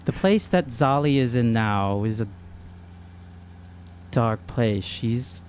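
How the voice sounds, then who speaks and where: A man's voice: medium pitch, animated, slow, a little mellow. A man playing a tabletop role-playing game, talking and asking questions casually in character. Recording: faint hum, slight background noise.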